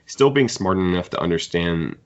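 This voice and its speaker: A man with a very low voice talks casually as a podcast host. He is opinionated and critical as he discusses books. The recording is a little dull and lo-fi. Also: expressive, normal speed. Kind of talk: casual book discussion chat.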